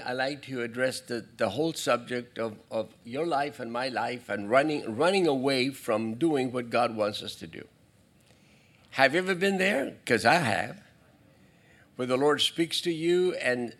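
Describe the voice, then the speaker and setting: A male pastor preaching a sermon in a church, talking in a halting way with restarts and asides to the congregation. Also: mid-range voice, lively intonation, a bit bright. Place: church sanctuary.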